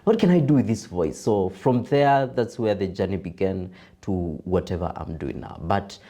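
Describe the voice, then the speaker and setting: A young Kenyan man with a deep voice, a broadcaster and voiceover artist chatting as a podcast guest, laughing easily and mixing in Swahili. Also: moderate pace, dark tone. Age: young adult. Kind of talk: casual interview chat.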